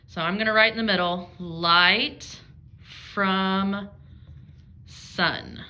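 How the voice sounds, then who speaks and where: A woman working as an elementary reading teacher, reading aloud and explaining to young children, thinking out loud and posing guiding questions. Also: mid-range voice.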